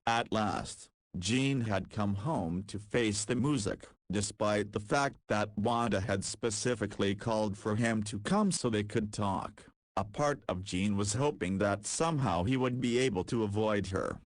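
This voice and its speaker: A man narrating a visual novel in a measured, even storytelling manner. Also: on the low side, no echo.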